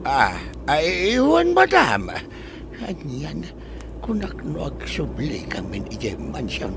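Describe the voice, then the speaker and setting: A man's voice: on the high side, wildly swooping pitch, slow pace, a bit bright, slight background noise, exaggerated voice. A man playing a timid old resort worker who stammers and hesitates before every reply. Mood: deferential. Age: older.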